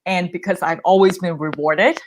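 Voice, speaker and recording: Chatty young woman with a low voice. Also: steady tone, normal speed, slight background noise. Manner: chatty, laughs often, many fillers and restarts. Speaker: podcast host receiving coaching. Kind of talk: candid conversational chat.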